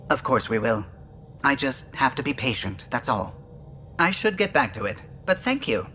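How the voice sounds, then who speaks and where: A gentle, wistful alien engineer in a sci-fi game. The voice is bright and moves around a lot in pitch, with precise, musing phrasing. A faint rumble sits behind it. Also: male voice, sing-song, normal speed. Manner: musing, exact, clipped recollection.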